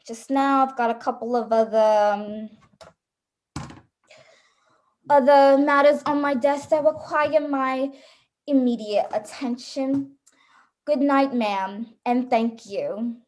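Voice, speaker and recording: A woman's voice: high voice, fairly even intonation, slow pace, darkish tone. A woman playing a weary, dismissive police desk officer in a radio thriller, patronizing and placating. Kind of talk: suspense radio play acting.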